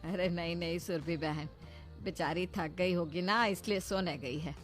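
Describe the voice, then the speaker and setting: A woman's voice: lowish voice, fairly even intonation, unhurried, loud music behind the voice, lightly acted. A woman voicing a doting, naive older mother-in-law in a motherly, soothing manner for a cartoon. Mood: gentle. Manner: soothing, simple-minded, motherly. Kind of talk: cartoon voice acting.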